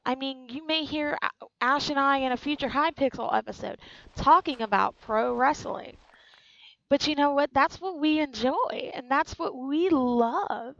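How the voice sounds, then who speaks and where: A woman's voice: sits high, unhurried, vocal fry, fairly bright tone, no echo, highs cut off. A woman in her twenties, speaking frankly and earnestly about her own life, repeating key lines for emphasis. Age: 20s. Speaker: channel host. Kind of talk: personal testimony to camera.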